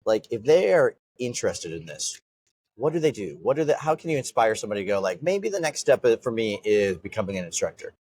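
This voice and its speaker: A man's voice: lowish voice, big pitch swings, fast talker, darkish tone, slightly rough, dry recording. A man interviewing a martial arts instructor, chatting casually and rambling a bit as he asks questions. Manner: casual, rambling with fillers and restarts. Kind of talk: interview conversation.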